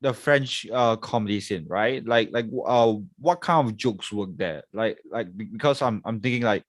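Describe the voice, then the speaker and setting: A man's voice: lowish voice, normal speed, no room sound. A man hosting a podcast in casual chat, laughing easily and using many fillers. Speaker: podcast host.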